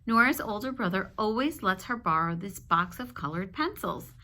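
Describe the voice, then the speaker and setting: A woman teacher reading a children's book aloud, pausing to explain simply and check in with her young listeners. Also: mid-range voice, expressive, bright, forceful tone. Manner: instructive, addressing young children with check-in questions.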